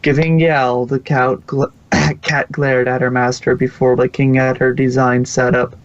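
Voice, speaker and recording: A man's voice: medium pitch, level tone, measured pace, no room sound, lo-fi sound. A man reading fan fiction aloud as the narrator, close to the microphone.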